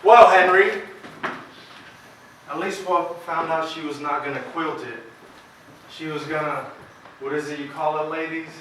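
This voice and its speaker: Male, stage drama: actor as smug, patronising county attorney, forceful and fairly high-voiced, recorded from afar in an echoey space. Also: measured pace, pauses often. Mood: teasing. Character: confident, condescending county attorney. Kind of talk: amateur stage play acting. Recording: echoey stage, distant mic, faint noise.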